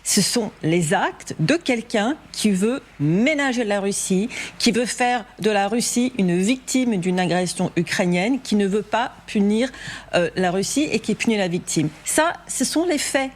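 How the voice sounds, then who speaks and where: A woman speaks as a guest analyst in a panel debate, with a mid-pitched voice. She argues insistently, setting out her case one point after another. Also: expressive, unhurried. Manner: insistent, builds argument point by point.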